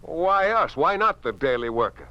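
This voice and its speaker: A man's voice: fairly high pitch, very animated, moderate pace, sharp tone, muffled recording. A man acting in a 1950s radio drama as a naive, well-meaning newspaper publisher who protests earnestly. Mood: defensive, bewildered. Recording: noisy old 1950s radio recording.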